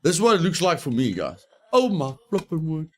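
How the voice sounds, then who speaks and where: A man's voice: a little high, huge pitch swings, a little fast. A man streaming a survival game, giving live commentary, repeating his phrases and yelping with mild exclamations when startled.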